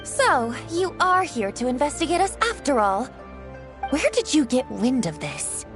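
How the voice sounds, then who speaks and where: A woman's voice: high-pitched, very animated, a little slow, music in the background. A woman voicing a suspicious, irritated bank official in a video game, indignant and curt. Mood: resentful.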